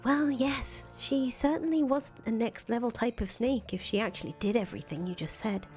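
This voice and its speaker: A woman playing a sceptical, worried confidante in a visual novel, questioning and expressive, with a slightly husky voice. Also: fairly high pitch, moderate pace, lightly acted. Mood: doubtful, soothing. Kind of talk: acted reading of visual novel dialogue. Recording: music under the voice.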